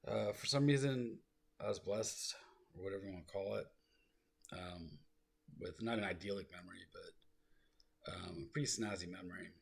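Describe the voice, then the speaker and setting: A man rambling through his own life story in a soft, low voice he calls soothing, full of fillers and asides. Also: moderate pace, vocal fry, long pauses, breathy edge. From South Lake Tahoe, California. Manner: rambling, self-deprecating, full of fillers and digressions.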